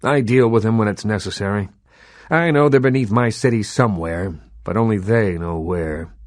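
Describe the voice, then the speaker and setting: An actor playing an imperious old vampire baron and Hollywood mogul in a video game, speaking in a measured, eloquent, condescending way. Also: male voice, lowish voice, dry recording, studio quality, lightly acted. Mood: reflective. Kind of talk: video game character dialogue.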